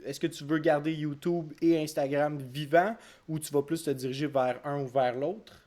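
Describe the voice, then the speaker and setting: A man's voice: on the high side, fairly even intonation, moderate pace, darkish tone. Hesitant male host. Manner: hesitant, many fillers while asking. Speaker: podcast host. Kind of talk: casual interview.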